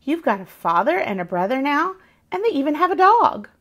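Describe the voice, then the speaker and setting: A woman voicing an affectionate, teasing grandmother in a storybook, coaxing and animated. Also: high voice, fairly quick, voice pushed up. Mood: gentle concern.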